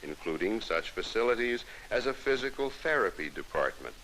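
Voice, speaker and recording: A middle-aged American man narrating a scripted 1960s educational film in a measured, formal, instructive manner, on an old film soundtrack. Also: lowish voice, very animated, a bit bright, a little hiss or hum, poor audio.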